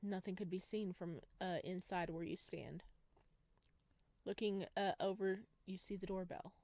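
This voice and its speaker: A woman's voice: fairly low pitch, fairly even intonation, measured pace, mellow, no room sound. A woman reading a visual novel game aloud in a casual way, adding her own playful asides. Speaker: gaming video creator reading and playing a visual novel.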